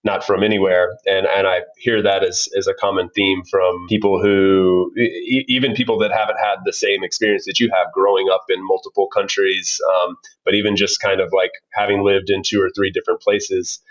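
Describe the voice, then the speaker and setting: A man's voice: deep voice, level tone, normal speed, hardly any pauses. A man hosting a podcast interview, enthusiastic and full of fillers and restarts. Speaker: podcast host. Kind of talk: casual interview. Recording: dull lo-fi sound.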